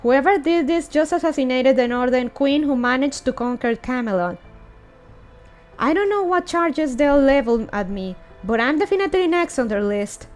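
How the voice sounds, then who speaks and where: A woman's voice: high-pitched, animated, moderate pace, soft background music. A woman reading the lines of a wry, blunt male schemer from a fantasy game in a conversational way. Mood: grim reasoning.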